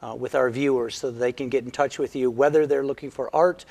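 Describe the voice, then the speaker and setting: A man with a Midwestern Michigan accent who hosts an interview show and teaches English pronunciation in an upbeat, clear way. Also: medium pitch, steady tone, brisk, a little mellow. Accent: Michigan Midwestern American. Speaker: TV host.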